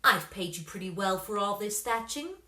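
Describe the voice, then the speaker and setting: A woman's voice: mid-range voice, animated, normal speed, sharp tone, roomy sound. A woman voicing a haughty, possessive female pop star in a storybook, imperious and dismissive.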